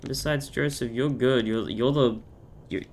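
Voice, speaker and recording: A man with an Australian accent and a croaky voice, chatting playfully and joking while he streams a game. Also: mid-range voice, fairly even intonation, unhurried, slight background noise. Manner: joking, playful, self-mocking. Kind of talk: casual gaming chat.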